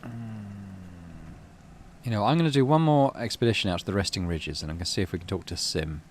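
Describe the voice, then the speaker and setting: A man's voice: low voice, sing-song, fast talker, slight background noise. A man giving a casual let's play commentary, thinking aloud and reading story text from the game. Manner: thinks aloud while playing. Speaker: gaming channel host playing a visual novel.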